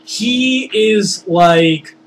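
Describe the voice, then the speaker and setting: A man casually recounting his tabletop games as game master, rambling and informal, animated when he acts out what people said. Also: high-pitched, extremely slow. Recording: noisy background.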